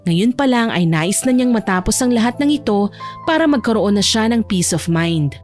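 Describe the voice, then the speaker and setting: A woman's voice: mid-range voice, lively intonation, normal speed, a little mellow. A woman narrator reading a scripted romance story in audiobook style. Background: faint music.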